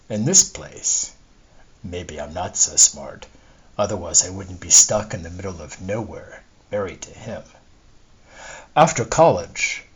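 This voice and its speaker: A man's voice: fairly low pitch, husky. A man reading a literary monologue as a wistful, discontented middle-aged wife, confiding and opinionated, in his own everyday voice. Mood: bitter regret. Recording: dull lo-fi recording.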